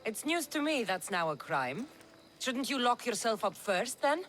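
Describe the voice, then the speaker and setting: A woman's voice: on the high side, very animated, unhurried, a bit bright, a little hiss or hum. A woman voicing a confident, dry-witted mercenary hero. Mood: wry.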